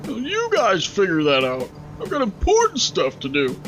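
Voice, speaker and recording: A man voicing a bossy, childish male raccoon gang leader who shouts whiny demands, with music behind. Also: high-pitched, wildly swooping pitch, moderate pace, voice pushed up, full character voice. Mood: bossy demanding.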